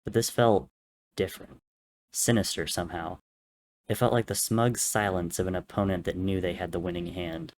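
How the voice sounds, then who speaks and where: A man's voice: low voice, animated, normal speed. A man reading fiction as a dramatic, scripted narrator. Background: soft music or ambience.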